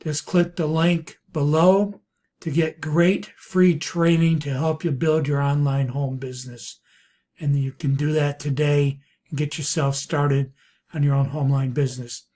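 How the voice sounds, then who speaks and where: A man hosting a casual software demo video, talking in a rambling way and repeating himself. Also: on the high side, little pitch movement, a little slow. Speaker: video host, reviewer. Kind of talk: casual screen-recorded software demo narration.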